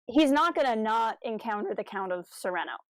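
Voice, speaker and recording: A woman's voice: a little high, expressive, fairly quick, a bit bright, dry recording. A woman co-hosting a podcast, talking through ideas in a casual, discursive way. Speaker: podcast co-host.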